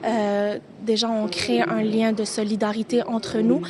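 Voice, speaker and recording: Woman, from Canada, spontaneous interview, hesitant, slightly high even voice, busy crowd behind. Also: unhurried. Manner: hesitant, searching for words. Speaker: event participant being interviewed.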